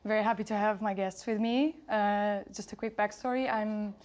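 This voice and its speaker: A woman's voice: mid-range voice, fairly even intonation, normal speed, a little mellow. A young woman from Lisbon hosting a talk at her photography exhibition, friendly and hesitant with many fillers. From Portugal, Lisbon. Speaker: host, photographer.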